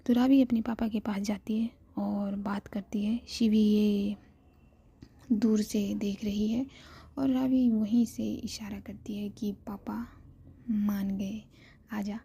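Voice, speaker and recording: Woman, whispered storytelling, husky, flat delivery, dry. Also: takes it slowly. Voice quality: soft whisper, gravelly undertone. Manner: calm, low-key narration addressed to listeners.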